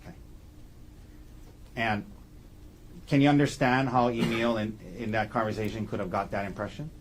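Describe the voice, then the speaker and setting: A man's voice: mid-range voice, fairly even intonation. Guy lawyer questioning a witness in court, stop-start leading questions, bit of noise on it. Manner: halting, leading questions with short confirming tags. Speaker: defense attorney questioning a witness.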